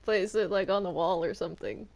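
A woman's voice: medium pitch, fairly even intonation, a little fast, vocal fry, a little mellow, natural voice. A woman playing a stubborn, sassy cartoon demon and reluctant villain in an improvised Minecraft roleplay. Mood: playful.

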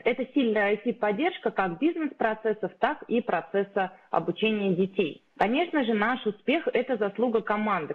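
Woman pitching a business over a thin line. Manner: steady, rehearsed delivery with occasional filler sounds. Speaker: founder pitching to investors. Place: indoor room, video call. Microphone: webcam or laptop mic on a video call. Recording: narrow phone-like sound.

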